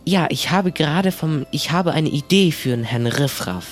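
A man's voice: on the high side, lively intonation, moderate pace, lightly acted. A man playing an awkward, polite, chatty pirate in an improvised audio drama, casual and hesitant. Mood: casual, helpful.

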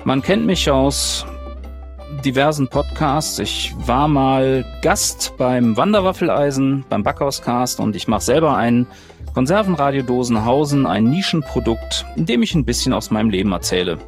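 A man chats and improvises as a player on a podcast. His mid-pitched voice moves up and down a lot, in a casual style, with light music in the recording. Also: lively intonation, normal speed. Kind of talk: casual improvised role-play and chat.

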